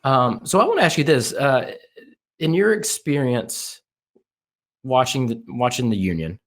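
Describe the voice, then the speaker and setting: A man hosting a casual soccer podcast, eager and talking in quick bursts as he asks his guest questions. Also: mid-range voice, big pitch swings. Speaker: podcast host.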